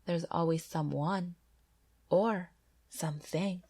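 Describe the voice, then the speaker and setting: A woman's voice: fairly low pitch, steady tone, takes it slowly, husky. Stuffy-nosed woman telling ghost stories. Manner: gentle, earnest storytelling. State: stuffy nose from a cold. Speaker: YouTube ghost story narrator. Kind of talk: reading true ghost stories aloud. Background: faint background music.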